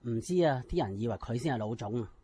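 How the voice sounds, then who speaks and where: Guy playing a scheming cantonese office boss for radio drama, mellow husky voice, self-important and grumbly. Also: mid-range voice, lively intonation, fast talker. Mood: resentful. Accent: Hong Kong Cantonese. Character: aggrieved, scheming male company boss.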